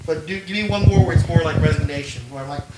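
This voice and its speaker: A man's voice: a little high, fast. A man chatting casually and coaching lines as the host directing a voice recording. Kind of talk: casual chat and line coaching. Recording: echoey room with faint noise.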